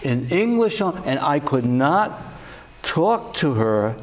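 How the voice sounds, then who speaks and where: An older man hosting a political talk show, speaking slowly and deliberately with frequent pauses and fillers. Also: on the high side, big pitch swings. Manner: slow and deliberate, many uh fillers. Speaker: host.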